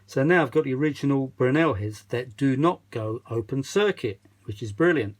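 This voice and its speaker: A man with a mid-pitched voice talks casually as the presenter of his own hobby video. He is chatty and self-deprecating, rambling along and often hunting for the right word. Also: animated, moderate pace. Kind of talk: rambling casual demonstration vlog. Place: small cluttered kitchen used as a workshop.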